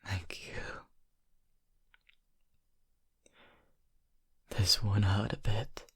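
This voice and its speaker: A man's voice: lowish voice, flat delivery, a little slow, fairly bright tone, husky, heavily acted. A man playing a brooding young vampire confiding in his best friend in an ASMR roleplay, hesitant and intimate. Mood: hungry, whispered pleading.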